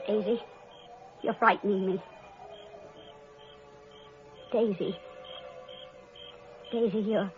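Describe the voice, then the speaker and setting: A woman's voice: medium pitch, close to an everyday voice. Woman acting a panicked, jealous young murderess, old radio. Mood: pleading, alarmed, then frightened disbelief. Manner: frantic, halting, repeating herself. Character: panicked, jealous young woman who killed her sister. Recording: dull old radio recording with music.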